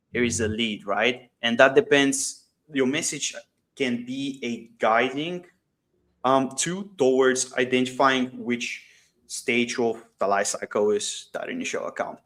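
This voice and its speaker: A man's voice: medium pitch, a bit bright. A man speaking as a guest in an online business session, explaining things informally and emphatically through anecdotes. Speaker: guest, startup CEO.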